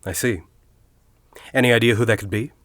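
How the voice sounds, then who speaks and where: An actor playing a courteous, quietly commanding university headmaster in an audio drama, with a polished, genial manner. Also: male voice, mid-range voice, a little fast, dry recording, natural voice. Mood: probing, cool. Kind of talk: naturalistic audio drama acting.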